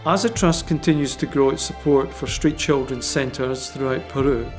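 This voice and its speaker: A man reading a measured, informative scripted voice-over. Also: mid-range voice. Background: music.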